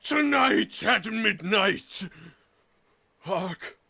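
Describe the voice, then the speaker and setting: A woman's voice: medium pitch, animated, extremely slow, vocal fry, sharp tone, breathy edge, no room sound, heavily acted. A woman playing a solemn, commanding, ageing spirit of Christmas in a theatrical radio drama. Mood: solemn, foreboding. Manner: theatrical, declamatory. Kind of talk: vintage radio drama acting.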